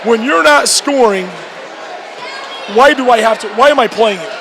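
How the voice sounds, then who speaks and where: Male coach, very high voice with fry, restarting phrases in a postgame interview over a loud crowd. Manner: repetitive, halting restarts mid-phrase. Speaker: high school basketball coach. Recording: noisy gym with loud crowd behind. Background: crowd and clear background noise.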